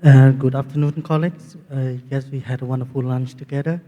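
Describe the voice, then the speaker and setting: A man's voice: mid-range voice, fairly even intonation, fairly quick, highs cut off. A man from Cambodia with a Cambodian accent, giving courteous, formal remarks at a round table. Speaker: government trade official. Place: large reverberant meeting room. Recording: long hall reverb.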